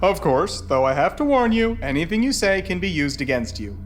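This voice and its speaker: A man's voice: high voice, sing-song, a little fast, slight background noise. A man playing an earnest, by-the-book young military officer in an audio drama, formal and reasoning. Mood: reassuring.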